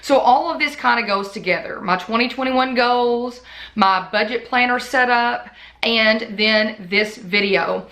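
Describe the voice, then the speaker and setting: A woman's voice: medium pitch, sharp tone. A woman vlogger chatting off the cuff about her budget in long, run-on stories, her voice still a little worn from recovering. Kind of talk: casual off-the-cuff chat to camera.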